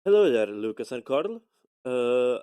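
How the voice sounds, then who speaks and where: A man from Finland with a Finnish accent, leaving a halting, polite voice message with many restarts. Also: medium pitch, big pitch swings, warm tone, clean tone. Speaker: voicemail caller. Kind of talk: recorded voice message.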